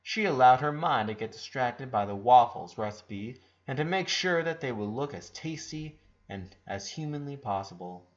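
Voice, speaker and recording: A man reading a story aloud as a narrator, with expressive, emphatic delivery and dramatic stresses. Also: mid-range voice, normal speed. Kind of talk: scripted narration, audiobook-style story reading. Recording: dull lo-fi recording.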